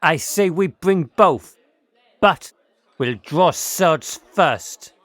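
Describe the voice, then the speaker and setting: A man's voice: fairly high pitch, expressive, very slow, a bit bright, no echo, heavily acted. A man playing a proud, fiery young nobleman in a radio drama, declaiming defiantly. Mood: defiant, commanding.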